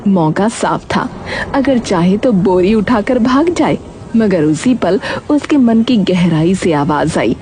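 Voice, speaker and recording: A woman's voice: mid-range voice, expressive, takes it slowly, mellow, a little hiss or hum. A woman narrating a scripted story dramatically, with music playing behind her. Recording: background music throughout.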